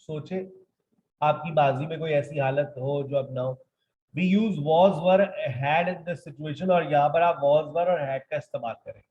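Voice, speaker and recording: A man in his thirties teaching an online English grammar lesson. He moves between quick explanations and slow, dictated example sentences. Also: fairly high pitch, warm tone, lo-fi sound. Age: 30s.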